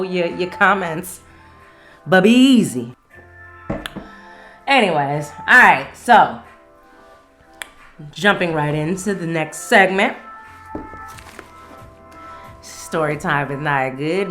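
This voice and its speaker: A woman's voice: sits low, a bit bright. An American woman hosting a solo podcast, speaking in a blunt, emphatic, slangy way. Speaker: podcast host. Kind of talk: casual solo podcast monologue.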